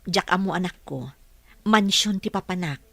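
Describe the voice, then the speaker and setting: A woman's voice: lowish voice, normal speed, creaky, sharp tone, dry recording, full character voice. A woman acting as a struggling, caring young mother in a dramatised audio story. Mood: patient, tender.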